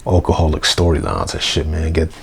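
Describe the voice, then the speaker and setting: A young man, hoarse, rambling through a casual unedited review vlog with lots of fillers and slang. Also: very deep voice, big pitch swings, fairly quick, slight background noise. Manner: rambling, full of fillers like "you know" and "man". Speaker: wrestling review vlogger. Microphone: basic mic, plans a new one.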